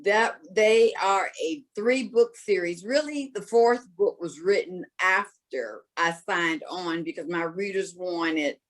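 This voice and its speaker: Unhurried woman author, measured delivery. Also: lowish voice. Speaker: romance author guest. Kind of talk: interview answer.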